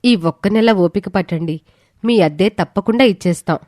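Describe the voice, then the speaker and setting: A woman's voice: medium pitch, moderate pace, darkish tone, dry recording, clean studio sound, lightly acted. A woman playing a worried young wife in a story, earnest, pleading and reproachful.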